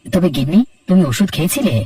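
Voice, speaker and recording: A man's voice: sits high, lively intonation, quick pace, darkish tone, breathy edge, no room sound. A man voicing an earnest, caring young office-working son and husband in a children's cartoon. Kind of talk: Bengali children's cartoon voice acting. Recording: distant voice with background music.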